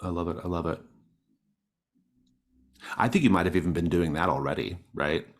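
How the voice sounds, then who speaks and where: A man's voice: sits low, moderate pace. A man speaking casually and encouragingly as a game master, explaining rules and setting to his players. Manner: encouraging, affirming players' ideas.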